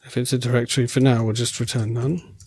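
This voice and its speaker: Husky man live coding, voice going. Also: medium pitch, fast talker, dry recording. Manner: relaxed, self-correcting, wry asides. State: losing his voice. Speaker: programming tutor. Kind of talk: thinking aloud while live coding.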